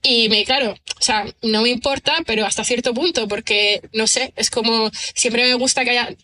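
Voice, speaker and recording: Bright-voiced woman musing aloud. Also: fairly high pitch, fairly even intonation, normal speed. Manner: thoughtful, rambling, frequent tag questions and fillers. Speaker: guest writer and screenwriter. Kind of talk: conversational podcast interview.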